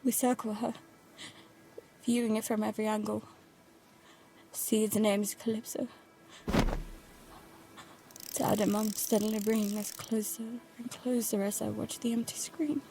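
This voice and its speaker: A woman's voice: mid-range voice, little pitch movement, measured pace, creaky, pauses often, background noise. A woman playing an anxious, stubborn teenage daughter in an audio drama, speaking in a tense, intimate way. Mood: uneasy, hushed. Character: anxious, stubborn teenage daughter narrating life aboard a boat. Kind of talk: audio drama acting with first-person narration.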